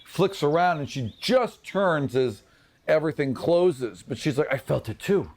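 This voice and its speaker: A man working as game master, narrating an improvised fantasy scene in an animated way with vocal sound effects and repeated words. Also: fairly high pitch, measured pace. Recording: background noise behind the voice.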